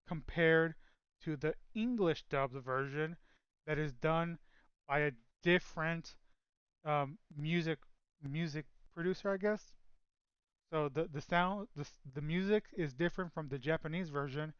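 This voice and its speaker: A man's voice: a little high, fairly bright tone, smooth, no echo, muffled recording. A man co-hosting a casual chat show, rambling with lots of fillers and restarts. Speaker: podcast co-host.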